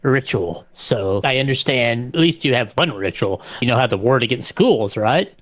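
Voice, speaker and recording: A man's voice: mid-range voice, expressive, normal speed, dry recording. A man playing a tabletop vampire game, narrating his character's actions casually and often repeating words as he goes.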